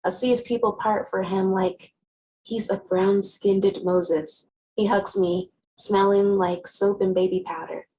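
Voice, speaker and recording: A woman as a wry teen girl, slightly gravelly. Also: mid-range voice, fairly even intonation, a little slow, warm tone, slight background noise, close to an everyday voice, low-quality recording. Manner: wry, conversational storytelling. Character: wry, guarded teenage girl narrator with sharp tongue. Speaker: actor. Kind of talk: first-person dramatic reading of a novel.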